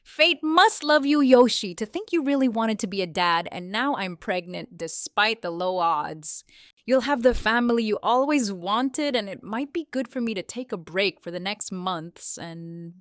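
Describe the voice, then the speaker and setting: A woman voicing a brash, sharp-tongued mother in a comic dub, blunt and sardonic. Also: mid-range voice, unhurried, no room sound, studio quality, natural voice. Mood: nervous.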